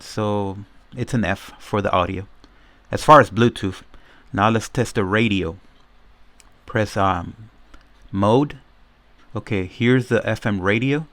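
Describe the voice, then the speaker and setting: Low-voiced man reviewing a gadget. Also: measured pace, pauses often, fairly bright tone, no echo. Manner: informal, step-by-step explaining. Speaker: product reviewer. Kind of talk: casual unboxing and demo commentary.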